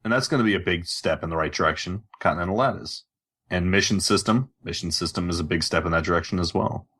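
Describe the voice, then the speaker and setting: Low-pitched male podcast host, informal gamer conversation. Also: fast talker, fairly bright tone, slightly rough. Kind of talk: casual gaming podcast chat.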